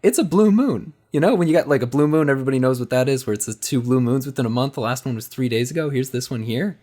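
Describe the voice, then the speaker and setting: Man performing gushing, earnest male tourist for improvised roleplay, voice lifted high, rambling with naive wonder. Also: quick pace, dark tone, close to an everyday voice. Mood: awed. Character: wide-eyed, excitable American tourist man in Paris.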